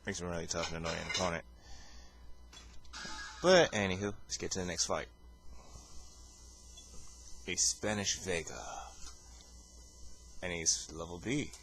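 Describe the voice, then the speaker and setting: Man, deep low voice, hesitant filler-heavy delivery, casual gameplay commentary, faint background hiss. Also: animated, unhurried, pauses often, a bit bright. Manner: rambling, hesitant, many fillers and restarts; calls his own voice boring. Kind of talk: casual fighting-game commentary.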